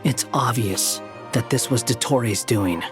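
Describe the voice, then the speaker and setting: A man's voice: medium pitch, takes it slowly, over music, natural voice. An actor voicing an aloof young man who speaks in a terse, matter-of-fact way. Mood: serious. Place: studio.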